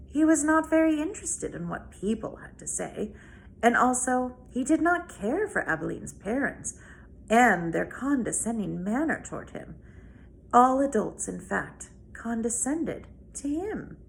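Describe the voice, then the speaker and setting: A woman reads a children's novel aloud as a storyteller, with deliberate, dramatic phrasing. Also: a little high, very animated, creaky, rough recording. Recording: noticeable background noise.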